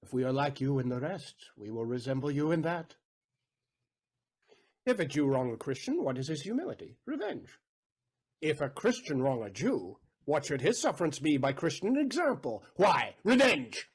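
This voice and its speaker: An actor playing a bitter, vengeful moneylender and betrayed father in a Shakespearean audio play, with a husky voice and theatrical, emphatic delivery. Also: a little high, big pitch swings, moderate pace, heavily acted. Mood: impassioned, wounded anger. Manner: theatrical, emphatic, swinging between hushed and loud.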